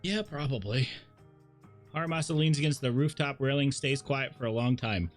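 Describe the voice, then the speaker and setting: A man's voice: medium pitch, moderate pace. Casual male game streamer, faint music behind. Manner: informal, reactive. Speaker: livestreamer. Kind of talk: casual game commentary, reading on-screen narration.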